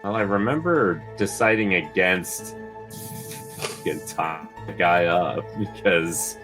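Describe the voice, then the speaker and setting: A man's voice: low-pitched, slow pace, music in the background, low-quality recording. A man playing a merchant in a tabletop game, joking casually with the group. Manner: joking, laid-back. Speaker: player.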